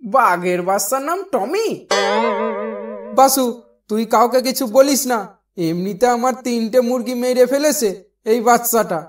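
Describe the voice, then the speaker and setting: Worried, practical cartoon village parent played by a woman, high voice, firm questioning, light music underneath. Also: expressive, normal speed, pauses often, close to an everyday voice. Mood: scolding. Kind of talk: Bengali cartoon voice acting.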